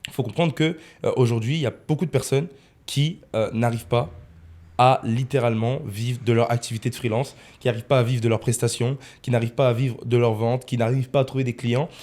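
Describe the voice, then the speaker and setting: A man's voice: medium pitch, steady tone, quick pace, a little mellow. A man of twenty-one hosting a casual podcast in a studio, rephrasing his points for emphasis. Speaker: podcast host, freelance video editor.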